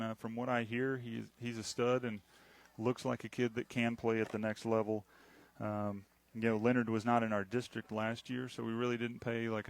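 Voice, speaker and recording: Local radio play-by-play man, rambling and teasing, mid-range voice. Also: mid-range voice. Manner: chatty, rambling, teasing his partner. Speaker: play-by-play announcer. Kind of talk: local radio football commentary.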